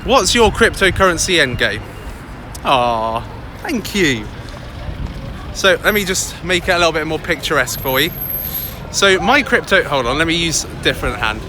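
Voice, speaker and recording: An English man in a loud, chatty, upbeat manner, vlogging live from a busy city street into a handheld phone. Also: a little high, very animated, moderate pace. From England. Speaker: crypto vlogger. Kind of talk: casual live vlog. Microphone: phone held by hand, close. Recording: outdoor street noise. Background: city traffic and passers-by.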